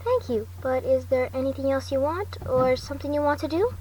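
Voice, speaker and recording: A woman's voice: high-pitched, animated, brisk, a little mellow. A woman voicing an eager, friendly teenage boy hero student in an earnest, encouraging way. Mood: gentle, kind. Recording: distant home mic, faint noise.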